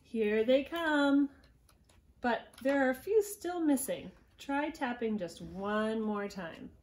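A woman in her thirties reading a picture book aloud to young children at home, gently encouraging them and prompting with questions. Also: on the high side, expressive, slow pace. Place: small living room at home, indoors.

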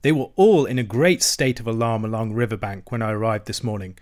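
A man's voice: fairly low pitch, brisk, a little mellow, close to an everyday voice. A man voicing a cheerful, adventurous otter who tells his tale in a hearty, vivid storybook manner. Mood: cheerful, animated.

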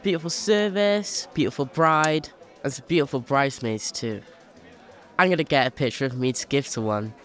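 An actor playing a cheeky, boastful young chimney sweep in an audio drama. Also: male voice, medium pitch, normal speed, a bit bright, soft background music, natural voice.

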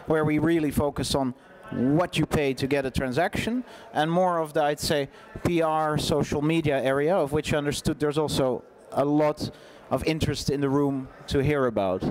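A man's voice: a little high, normal speed, few pauses, darkish tone, a little hiss or hum. A man, informally moderating a panel on a conference stage. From Netherlands. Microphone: gooseneck microphone at seat.

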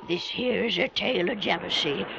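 A woman's voice: mid-range voice, creaky, noisy background, exaggerated voice. An actress playing a cackling, half-mad old female lighthouse keeper on a spooky storybook record, with folksy rustic grammar and theatrical delivery. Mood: sly. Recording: dull lo-fi record with music behind.

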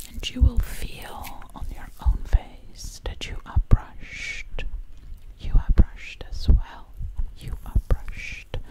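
A woman hosting ASMR in a close, breathy whisper, soothingly repeating her phrases. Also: fairly high pitch, poor audio. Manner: slow, soothing repetition of phrases. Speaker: ASMR video host. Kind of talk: ASMR roleplay pampering. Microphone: close microphone.

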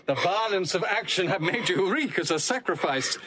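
A man playing an oily, flattering lord in a classical Shakespearean comedy scene, affected and obsequious. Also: high-pitched, normal speed, slightly rough, exaggerated voice. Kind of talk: classical Shakespearean comic audio drama acting.

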